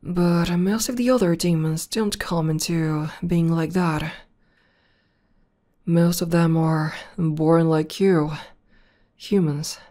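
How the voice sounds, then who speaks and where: Casting: woman voicing tomboy demon girlfriend, ASMR roleplay. Deep-set, relaxed tone, slow intimate delivery, fond and playful. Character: buff tomboy demoness girlfriend, affectionate and teasing. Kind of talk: ASMR roleplay audio spoken to the listener.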